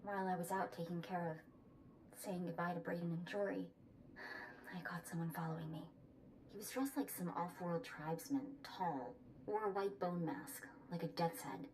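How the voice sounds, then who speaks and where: An actress playing a brisk, confident handler in a video game, briefing in a matter-of-fact way. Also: female voice, lowish voice, darkish tone, lightly acted. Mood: businesslike. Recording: slightly distant, faint background noise.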